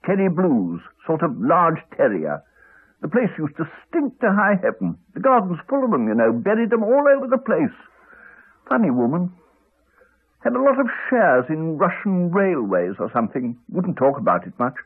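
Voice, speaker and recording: An actor playing a courteous, wry English country doctor, middle-aged, with a hesitant, chatty manner in a 1980s radio drama. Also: male voice, on the high side, huge pitch swings, moderate pace, slightly rough, exaggerated voice. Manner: hesitant, chatty, clipped phrases. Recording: slightly dull old radio recording.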